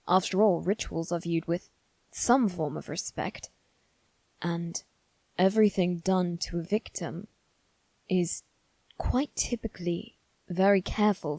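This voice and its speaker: A woman playing a proud, analytical young aristocratic boy detective in an ASMR roleplay, musing aloud in measured, formal phrases with deliberate pauses. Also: on the low side, warm tone, dry recording. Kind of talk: ASMR roleplay, scripted dramatic monologue.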